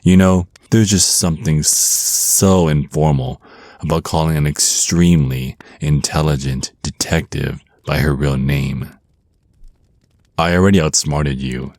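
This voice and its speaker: Male actor as menacing, teasing vampire naga overlord for ASMR roleplay: extremely deep, unhurried, flirtatiously smug. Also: darkish tone, dry recording. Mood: flirty, condescending. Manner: smug, teasing, slow deliberate menace with drawn-out words.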